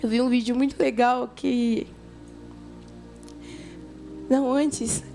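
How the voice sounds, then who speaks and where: A woman's voice: fairly high pitch, fairly even intonation, slow pace, creaky, mellow, soft background music. A woman with a Brazilian accent preaching informally to young people, telling stories in colloquial language and speaking directly to her listeners. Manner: colloquial, conversational, addresses listeners directly, acts out dialogue. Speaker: youth church preacher. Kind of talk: informal youth sermon with storytelling.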